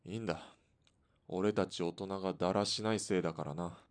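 A man's voice: sits low, a little fast, lightly acted. A man acting in a Japanese radio drama as an easygoing, slightly vain but kindly hitman, talking in a casual, familiar way. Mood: gentle, apologetic. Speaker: voice actor in an audio drama.

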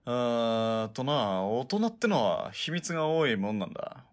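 A man playing a gruff, world-weary gunman in a Japanese radio drama, speaking bluntly and wryly in rough colloquial speech. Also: mid-range voice, very animated, unhurried. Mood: flustered, wry. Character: gruff, world-weary male sniper-team partner, wryly nagging.

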